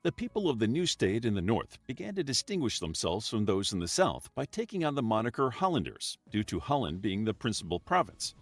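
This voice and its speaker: A man reading scripted history documentary narration in an even, measured way, played from a video. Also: fairly low pitch, no echo.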